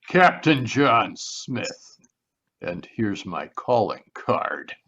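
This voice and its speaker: Man playing a slow-talking menacing radio thug. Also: mid-range voice, very animated, fairly bright tone, heavily acted. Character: ugly, heavy-set thug gunman with a menacing drawl. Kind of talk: old-time radio mystery acting. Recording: dull, lo-fi video call audio.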